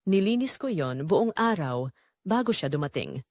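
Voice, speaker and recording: A woman playing a wounded middle-aged mother who tells her heartbreak in earnest, deliberate audio drama narration. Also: lowish voice, big pitch swings, darkish tone, no echo, clean studio sound. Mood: hurt, reflective.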